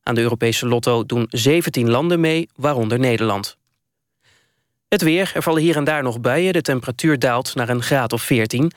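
A man's voice: mid-range voice, normal speed, dry recording, studio quality. A man with a Netherlands Dutch accent reading a formal, scripted news bulletin as a radio newsreader.

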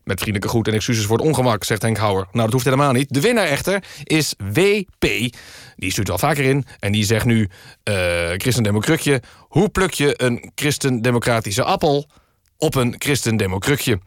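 A man hosting a satirical radio magazine in a mock-serious, deadpan and halting way. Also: mid-range voice, no room sound, clean studio sound. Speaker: radio show host. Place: radio studio. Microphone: close studio microphone.

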